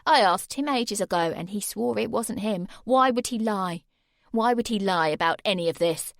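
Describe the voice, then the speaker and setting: A woman's voice: medium pitch, moderate pace, no echo, clean studio sound, close to an everyday voice. A woman reading aloud and voicing a cruel, bullying teenage boy with a cold, sneering delivery.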